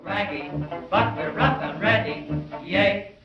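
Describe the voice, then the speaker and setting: A man's voice: high-pitched, takes it slowly, distant mic. A man performing a vintage novelty song, half singing and half speaking. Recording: old, echoey, muffled recording under loud music. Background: loud music.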